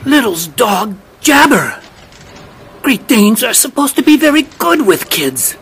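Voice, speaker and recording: An actor playing a flustered project scientist in a steampunk audio drama, halting and given to exclaiming. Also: mid-range voice, very animated, a bit bright, breathy edge, exaggerated voice. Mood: dismayed.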